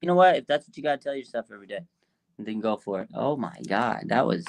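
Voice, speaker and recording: Gravelly, casual man co-hosting sports talk. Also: on the low side, expressive, fast talker. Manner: agreeable, informal, repeats himself for emphasis. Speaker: co-host of a sports fan show. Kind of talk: casual sports fan banter.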